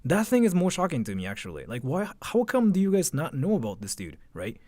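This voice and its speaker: A man's voice: a little high, huge pitch swings, a little fast, a little mellow, no room sound, clean studio sound. A man chatting casually on a livestream, informal and slangy, often putting questions to his viewers. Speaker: live streamer. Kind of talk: casual livestream chat, commenting and answering viewers.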